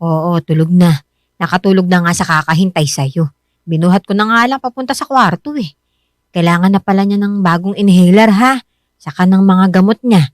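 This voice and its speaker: An actress playing a devoted, reassuring wife with a gentle, earnest manner and a Filipino accent in a radio drama. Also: female voice, lowish voice, moderate pace, dry recording, studio quality, natural voice. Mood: caring, tired. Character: devoted, reassuring wife of a poor tricycle driver.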